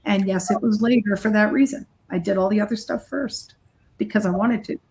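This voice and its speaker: A middle-aged woman with a mid-pitched voice speaks as a guest in a casual interview. She is candid and emphatic, talking in a loose, conversational way like a musician telling her own story. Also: fairly quick, a little mellow. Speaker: guest, rock guitarist and recording artist.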